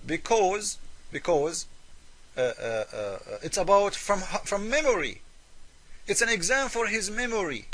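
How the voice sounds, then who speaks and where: Man, high-pitched voice, English, argumentative debater, emphatic, repeats himself, forceful delivery. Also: poor audio. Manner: emphatic, repetitive, with rhetorical questions; non-native English with irregular grammar. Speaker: religious debater and presenter. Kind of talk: argumentative polemical commentary.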